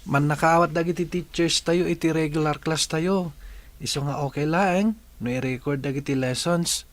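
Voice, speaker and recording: A man's voice: a little high, steady tone, normal speed, no room sound, close to an everyday voice. A man acting as a young male student in a radio drama. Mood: earnest.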